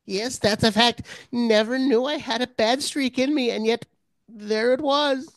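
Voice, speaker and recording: A woman's voice: fairly high pitch, steady tone, a little slow, no echo, lightly acted. A woman playing a timid, eager middle-aged male prisoner in a radio drama, stammering and ingratiating. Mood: nervous, confiding.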